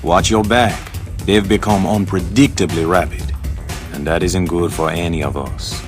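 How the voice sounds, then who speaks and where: Man voicing a smooth mutant thief boss, low voice, cryptic and unhurried, game music behind. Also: steady tone, natural voice. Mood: sly. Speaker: character in a video game cutscene.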